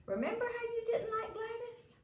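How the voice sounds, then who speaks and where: A woman reader plays an untaught young boy in a storybook, bold and full of curiosity. The voice is loud, blunt and raised in pitch. It sounds roomy. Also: lively intonation, normal speed, a little hiss or hum.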